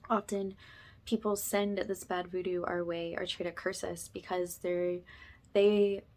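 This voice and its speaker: A woman speaking softly and gently as she leads an informal spiritual ASMR ritual, often slipping toward a whisper. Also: fairly low pitch, little pitch movement, unhurried. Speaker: host, spiritual energy healer leading a session.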